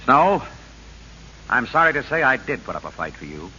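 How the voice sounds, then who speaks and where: An actor playing an upright, principled prosecutor in old 1930s-style radio drama, speaking firmly, earnestly and in clipped phrases. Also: male voice, mid-range voice, big pitch swings, moderate pace, pushed and bright. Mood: stern, indignant. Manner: earnest, firm, clipped delivery. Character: upright, principled male prosecutor running for governor. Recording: muffled old radio recording with hiss.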